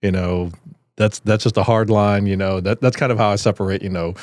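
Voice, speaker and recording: A man's voice: fairly low pitch, steady tone, fairly quick, a little mellow, no echo. A man hosting a podcast, asking questions in a chatty, joking way with lots of "you know.". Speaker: podcast host. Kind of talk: casual interview. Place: podcast studio.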